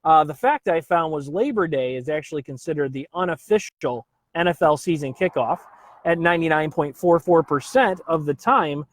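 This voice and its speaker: A man's voice: on the high side, normal speed. A man hosting a casual live stream, upbeat and chatty, greeting viewers by name and dropping filler uhs.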